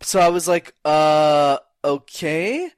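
A young man talking as a speaking coach in a podcast conversation, energetic and acting out quoted voices, with casual words like "man" and "brother". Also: a little high, takes it slowly, clear, resonant tone, no room sound, clean studio sound. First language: not English; speaks French as second language.

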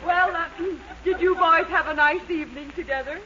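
A woman's voice: very high voice, moderate pace, bright, forceful tone. An American woman playing a brash, sassy, mocking wife in a 1940s radio sitcom. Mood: smug mockery. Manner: sassy, slangy. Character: brash, mocking wife enjoying the upper hand. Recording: muffled radio recording with heavy noise.

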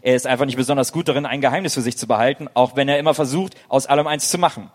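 A man's voice: mid-range voice, very fast. A man narrating a comedic audio play in a theatrical storytelling style.

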